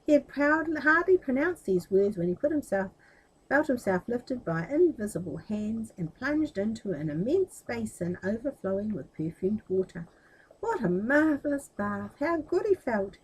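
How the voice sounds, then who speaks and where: A woman storyteller reading a children's tale aloud expressively, with animated, chatty asides. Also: fairly high pitch, moderate pace, slightly rough, poor audio.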